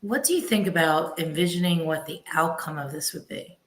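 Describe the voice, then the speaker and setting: A woman with a notably low voice hosts a live question and answer session. She puts questions to her guest and thinks aloud as she talks, in halting phrases. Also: expressive. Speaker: host. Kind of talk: live Q&A interviewing.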